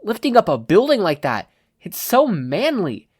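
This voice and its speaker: A reader doing a big-hearted teenage boy from a hero school story. He sounds casual and keen, a sentimental bro with a raised, bouncy pitch. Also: wildly swooping pitch, measured pace, breathy edge, no room sound, studio quality, full character voice. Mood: excited.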